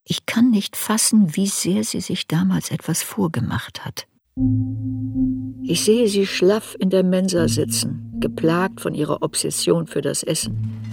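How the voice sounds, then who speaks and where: Searching memoirist over music. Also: female voice, on the low side, measured pace, vocal fry, darkish tone, breathy edge, no echo, exaggerated voice. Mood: unsparing, searching. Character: adult female memoirist, searching, unsparing, recalling her girlhood. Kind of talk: literary radio play narration.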